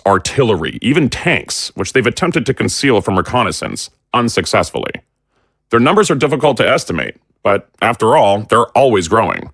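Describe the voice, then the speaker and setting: An actor playing a hawkish army general who briefs in a formal, measured, ominous way. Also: male voice, on the low side, fairly bright tone, no echo, clean studio sound, heavily acted. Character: grave hawkish army general, middle-aged man.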